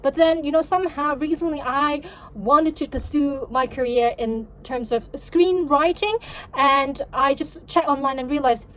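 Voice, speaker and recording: A woman whose first language is Cantonese, chatting casually to a vlog audience in a halting, self-correcting way. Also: high voice, fairly bright tone, poor audio. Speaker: vlogger and novelist.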